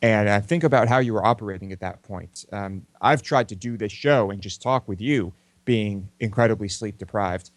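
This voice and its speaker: Low-voiced casual male co-host. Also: moderate pace, no echo. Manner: loose, conversational, hesitant with fillers. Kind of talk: casual talk show banter.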